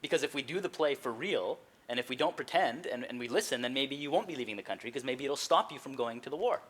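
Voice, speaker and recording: Bright, husky, insistent man acted on stage. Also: medium pitch, expressive, quick pace. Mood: earnest, persuasive. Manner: prodding, questioning, casual. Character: eager, insistent friend urging an officer to perform. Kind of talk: naturalistic conversational stage acting.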